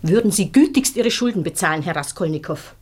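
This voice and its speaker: An actress playing an unyielding female creditor in a German radio play, sharp and coldly formal. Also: fairly low pitch, animated, brisk, roomy sound, lightly acted. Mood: stern, threatening. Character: stern, unyielding female creditor demanding debts.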